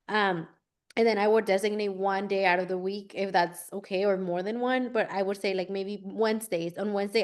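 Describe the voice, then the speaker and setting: A woman, a former classroom teacher, giving relaxed, chatty advice and often saying "like" in an online session. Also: medium pitch. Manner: relaxed, chatty, many "like" fillers. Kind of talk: casual webinar advice.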